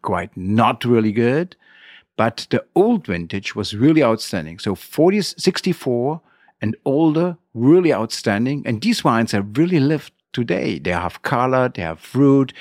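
A middle-aged man with a German accent, telling his story as an interview guest in halting, non-native English phrasing. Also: mid-range voice, no room sound, studio quality.

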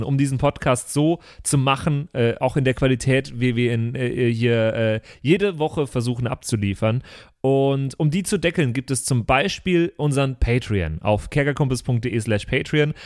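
A man hosting a podcast in casual, off-script chat, with an informal, jokey manner. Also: mid-range voice. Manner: jokey, informal, breaking into chuckles. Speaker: podcast host. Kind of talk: casual off-script chat, listener thanks and shout-outs.

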